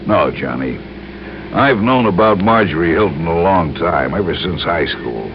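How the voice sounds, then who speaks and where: An actor playing an earnest male insurance man who explains things deliberately and carefully in an old radio crime drama. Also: sits low, expressive. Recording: muffled, noisy old radio recording.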